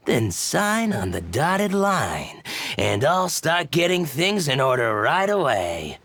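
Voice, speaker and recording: A sly, shady husky trader. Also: male voice, fairly high pitch, very animated, dry recording, exaggerated voice. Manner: slow, conspiratorial, hushed asides. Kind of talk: game voice acting.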